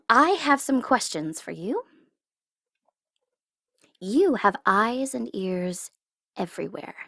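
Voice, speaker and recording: A woman playing a teasing tsundere supervillain in an ASMR audio roleplay, condescending but easily flustered, sometimes slipping into soft whispers. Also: medium pitch, dry recording, studio quality, heavily acted. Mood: menacing questioning. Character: teasing, tsundere female supervillain flustered by her captive hero.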